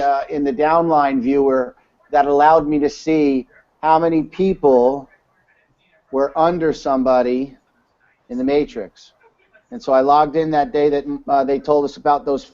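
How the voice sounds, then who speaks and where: A man talks through an online webinar in a casual, rambling way, stopping and restarting his sentences often. His voice is fairly high for a man and has a mellow, warm tone. The sound is dull and lo-fi, like a web call. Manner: rambling, many fillers and restarts. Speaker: webinar presenter.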